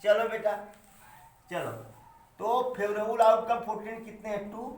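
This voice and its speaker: A man's voice: high voice, fairly even intonation, unhurried, darkish tone. A man of around fifty teaching a math class, counting aloud, questioning his students and adding joking asides. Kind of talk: classroom lesson. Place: echoey classroom. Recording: echoey classroom.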